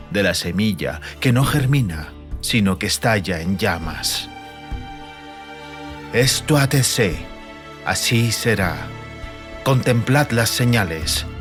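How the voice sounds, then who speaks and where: A portentous prophet of doom, voiced by a man declaims the end times in a fantasy audiobook. The incantatory speech is solemn and low, with music clearly underneath. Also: fairly bright tone.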